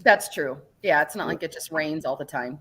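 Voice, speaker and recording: A woman's voice: low-pitched, moderate pace, a bit bright. A woman hosts a loose, chatty podcast from a country house. She rambles, leans on fillers and laughs often, with a faint hiss under her voice. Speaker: podcast host. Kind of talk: casual chat. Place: house in a dry rural area.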